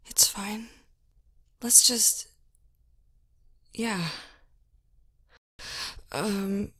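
A woman's voice: medium pitch, very slow, bright, forceful tone, slightly rough, no echo, clean studio sound, exaggerated voice. A woman playing an awkward, hesitant young person in an audio drama.

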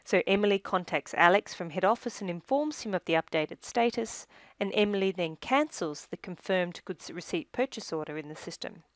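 A woman reading scripted software tutorial narration in a measured, instructional manner, recorded as a close-miked voice-over. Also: on the low side, animated, no echo.

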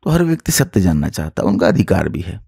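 A man's voice: low voice, sing-song, fast, gravelly, warm tone, husky, no echo, clean studio sound. A man speaking as a guru to his followers, emphatic and repetitive, asking rhetorical questions. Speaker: tantric guru. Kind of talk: spoken introduction and explanation to followers.

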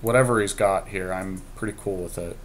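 A man's voice: sits low, brisk, a little hiss or hum. A man giving casual, slangy game commentary with some swearing, as a podcast host playing along. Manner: slangy, profane.

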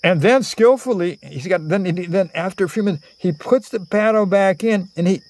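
A man in his sixties telling a rambling, improvised story in a theatrical, halting way, full of digressions and pauses. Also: high-pitched, a little mellow. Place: outdoors under cover among greenery. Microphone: phone at arm's length.

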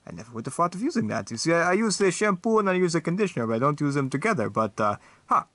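A man doing a fussy, excitable vampire talk show host. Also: on the high side, very fast, no room sound, pitched above the performer's own voice. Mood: chatty. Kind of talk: comedic stop-motion voice acting.

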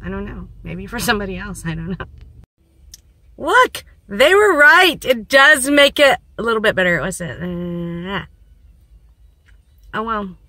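Woman, thirties, hoarse voice, bright tone, rambling self-mocking chat, phone held in a parked car. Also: medium pitch, very animated, unhurried, pauses often, no room sound. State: hoarse, losing her voice. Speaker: vlogger. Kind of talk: casual vlog chat. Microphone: phone at arm's length.

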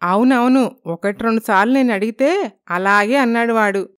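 A woman's voice: a little high, normal speed, clear, resonant tone, lightly acted. A woman voicing a shrewd, protective old grandmother who talks like a knowing elder in a cartoon story. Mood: advising. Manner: knowing, colloquial elder's talk.

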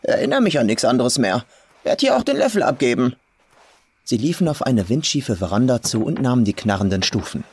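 A man reading a scripted horror story with atmospheric, deliberate delivery. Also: lowish voice, a little mellow, husky. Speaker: professional voice actor, audiobook reader. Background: atmospheric music.

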